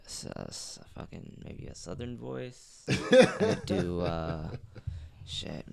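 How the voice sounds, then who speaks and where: A man's voice: mid-range voice, huge pitch swings, slow, creaky, a crowd in the background. A laid-back man from South Florida, a guest in a casual chat.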